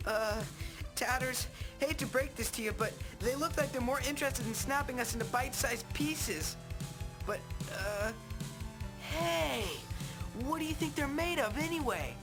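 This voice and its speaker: A woman voicing a cocky, goofy young male rascal in a video game, slangy, breezy and excitable. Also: high-pitched, a little slow. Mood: nervous. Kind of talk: exaggerated video game cartoon acting. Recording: game music under the voice.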